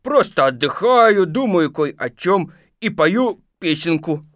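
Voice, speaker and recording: A man's voice: mid-range voice, measured pace, a bit bright, no echo, studio quality, natural voice. A man playing a naive, greedy, good-natured toy bear in a children's audio tale, hesitant and childlike. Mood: putting on cheer.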